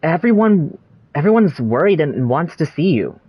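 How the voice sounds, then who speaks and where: Someone reading game dialogue aloud in a hesitant, questioning, gently concerned way. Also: mid-range voice, big pitch swings, normal speed, breathy edge, no room sound, lo-fi sound.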